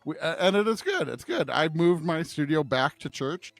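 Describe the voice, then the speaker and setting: A man's voice: a little high, sing-song, normal speed, gravelly, no room sound, soft background music. A middle-aged American man co-hosting a podcast, chatting casually in a rambling, self-deprecating way. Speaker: pastor and podcast co-host. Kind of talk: casual unscripted chat. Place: studio at a church.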